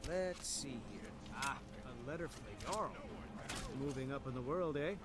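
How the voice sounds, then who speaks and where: An actor playing a businesslike fantasy game courier, speaking in a casual, hurried manner. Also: male voice, mid-range voice, animated, close to an everyday voice. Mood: brisk, matter-of-fact. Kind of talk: fantasy video game acting. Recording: loud game ambience noise.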